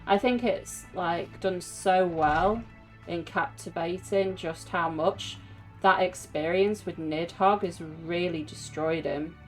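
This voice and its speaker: Woman, lowish pitch, casual game reaction, reflective, sympathetic, faint music behind. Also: takes it slowly, low-quality recording. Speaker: streamer reacting to a video game.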